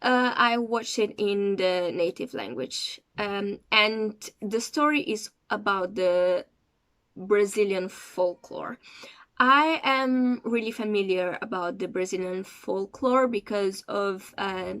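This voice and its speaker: Woman, talks slow, stops a lot to find her words, chatty review vlog. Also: medium pitch, fairly bright tone, clear, resonant tone. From Cape Verde. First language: Portuguese. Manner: hesitant, searching for words as she goes. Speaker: series reviewer.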